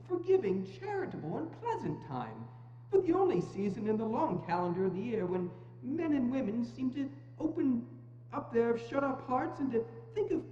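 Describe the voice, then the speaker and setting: A buoyant, earnest young nephew, played by a woman, high and very lively in pitch with a mellow tone. The theatrical reading rings through an echoey hall. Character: cheerful, good-hearted young nephew of an old miser. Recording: echoey hall, dull sound, faint hum.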